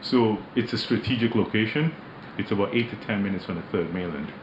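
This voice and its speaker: Company director guesting on radio, very deep imposing voice, slow measured delivery, roomy dull studio sound with noise behind. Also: male voice. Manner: measured, hesitant with many fillers. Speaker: managing director interviewed as guest. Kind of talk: radio interview, relaxed business talk.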